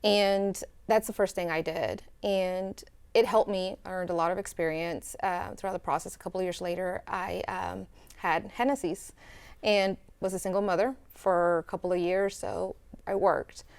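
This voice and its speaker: A woman's voice: lowish voice, steady tone, moderate pace, vocal fry, a little mellow, clean tone. A young woman from El Salvador, a student guest in a studio interview, speaking earnestly and reflectively with fillers and restarts.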